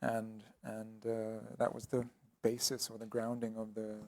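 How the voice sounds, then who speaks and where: A man speaking as an artist guest in an interview, explaining his work thoughtfully with frequent pauses and restarts. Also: lowish voice, monotone, a little mellow. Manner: hesitant, many ums and restarts.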